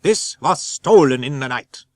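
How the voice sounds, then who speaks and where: A man voicing a stern, commanding old foreign professor in a dramatic audiobook, with deliberate, halting phrasing. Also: mid-range voice, animated, no echo, close to an everyday voice. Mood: urgent, indignant.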